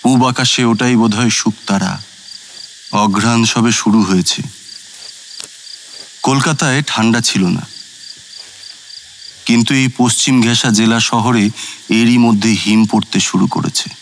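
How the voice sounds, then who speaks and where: A man's voice: on the low side, unhurried, pauses often, slight background noise. A male actor playing a former judicial officer who narrates his night journey as a scripted, literary first-person audio story. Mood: reflective.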